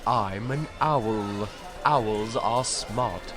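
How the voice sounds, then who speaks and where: A man's voice: fairly low pitch, very slow, a bit bright, soft background music. A man voicing a bossy stuffed owl who is an impatient, superior know-it-all in a comic audio drama. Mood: smug, bossy. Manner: exasperated, superior, commanding.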